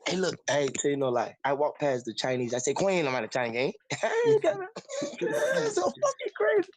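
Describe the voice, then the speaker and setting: A man's voice: on the high side, wildly swooping pitch, fairly quick, very noisy. A man in a put-on Caribbean island accent, rambling and boasting in profane casual chat on a call-in show.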